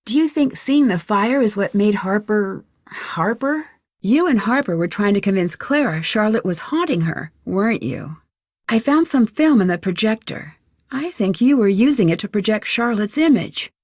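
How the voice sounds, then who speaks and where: A woman's voice: mid-range voice, lively intonation, normal speed, darkish tone, no echo, natural voice. Inquisitive young American actress as a girl detective, dry sound. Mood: curious, probing. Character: inquisitive, determined young female amateur detective. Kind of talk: scripted video game dialogue.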